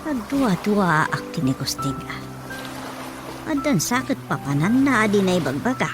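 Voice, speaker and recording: A woman's voice: medium pitch, big pitch swings, normal speed, over music, lightly acted. A woman with a Filipino accent playing a nagging, suspicious village housewife in a radio comedy-drama, questioning and muttering to herself. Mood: suspicious, grumbling.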